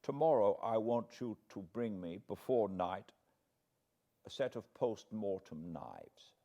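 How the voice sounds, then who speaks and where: A man voicing an elderly foreign professor from a classic novel, solemn and fatherly, with quaint, deliberate phrasing. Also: lowish voice, sing-song, gravelly, mellow, muffled recording, full character voice. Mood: secretive, grave. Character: elderly foreign male professor, grave, tender, paternal, eccentric.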